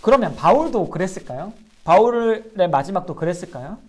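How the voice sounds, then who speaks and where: A man's voice: sits high, animated, fairly quick. A man, a pastor, giving an earnest sermon-style Bible lecture and asking his listeners rhetorical questions. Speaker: pastor teaching a Bible class.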